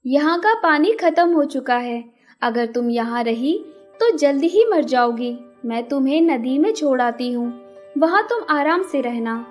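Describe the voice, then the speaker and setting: Woman as a high-voiced, kind little bird. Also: animated, a little slow, faint music behind. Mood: concerned. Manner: gentle, earnest, helpful. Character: kind, hardworking young female bird water carrier. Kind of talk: children's cartoon character acting.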